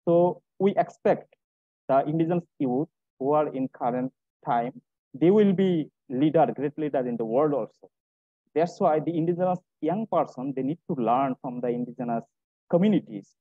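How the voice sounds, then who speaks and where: A middle-aged man speaking haltingly as a panelist in a webinar, in non-native English. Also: on the high side, dry recording. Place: indoor room, on a video call. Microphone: wired earphones on a video call. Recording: tinny, phone-like video-call audio.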